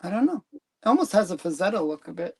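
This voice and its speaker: A man's voice: sits high, very animated, quick pace, vocal fry, slightly rough. A man hosting a casual livestream chat, talking hesitantly with frequent ums and trailing sentences. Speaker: comic collector host.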